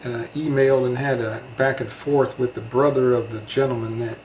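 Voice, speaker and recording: A man's voice: medium pitch, level tone, normal speed, darkish tone. A man chatting casually and reminiscing as the guest in an interview. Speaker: interviewee, radio hobbyist. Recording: roomy echo, background noise.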